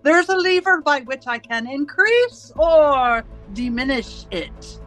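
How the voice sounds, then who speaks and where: A woman playing a cold, domineering interrogator in a radio drama, speaking in deliberate, commanding, rhetorical questions and pronouncements. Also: high voice, very animated, fairly bright tone, soft background music, full character voice. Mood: cold, measured menace. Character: cold, domineering party interrogator and torturer, righteous and controlling. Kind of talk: theatrical radio drama acting.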